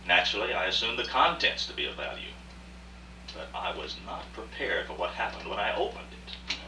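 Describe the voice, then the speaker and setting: Cultured male businessman, played by an actor, lowish, highly animated voice, emphatic radio-play storyteller. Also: a little fast. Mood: reminiscing. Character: cultured, proud businessman art collector telling his tale. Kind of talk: stage radio drama acting. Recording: roomy far-off rehearsal recording, faint hiss.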